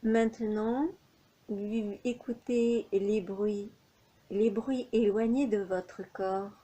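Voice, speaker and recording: A woman leading a guided yoga nidra relaxation as an instructor, speaking in measured, unhurried phrases with pauses between them. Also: mid-range voice, fairly even intonation, warm tone.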